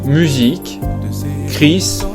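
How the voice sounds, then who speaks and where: A man reading scripted voice-over as a narrator, in a deliberate announcing manner. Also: a little high. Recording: music behind the voice.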